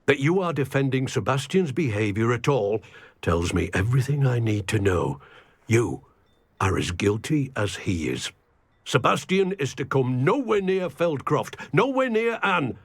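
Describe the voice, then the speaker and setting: Severe middle-aged wizard uncle for a fantasy game, rough husky voice, emphatic and dynamic. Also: male voice, medium pitch, dry recording. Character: stern, unforgiving uncle of a wizarding family. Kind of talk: video game voice acting.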